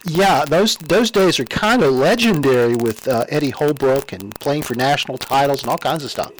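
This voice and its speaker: A man's voice: a little high, expressive, moderate pace, low-quality recording. A man conducting a casual interview, attentive and easygoing, with a conversational way of putting questions.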